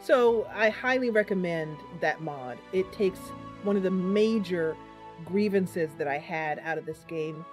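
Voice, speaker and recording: A woman's voice: fairly low pitch, a little slow, faint music behind. A woman giving casual live commentary as she plays and narrates a roleplaying game. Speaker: game streamer.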